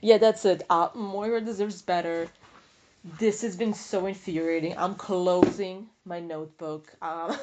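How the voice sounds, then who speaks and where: A woman's voice: fairly low pitch, fairly even intonation, unhurried, darkish tone, a little hiss or hum. A woman hosting a book podcast in casual, unscripted talk, thinking aloud in a rambling, opinionated, slangy way. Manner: informal, slangy, freely swearing. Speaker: book review podcast host.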